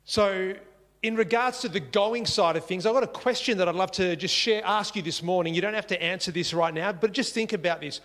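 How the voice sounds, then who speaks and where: A man's voice: sits high, brisk, a bit bright, in a large hall. A man preaching a sermon in earnest, repeating key phrases for emphasis. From Singapore. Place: church.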